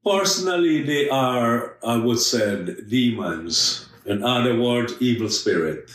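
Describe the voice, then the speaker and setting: Slow earnest guy telling his story, super echoey room, kinda far from the mic. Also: mid-range voice, expressive, a bit bright. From Guyana. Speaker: missionary guest. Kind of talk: telling his life story in a podcast interview.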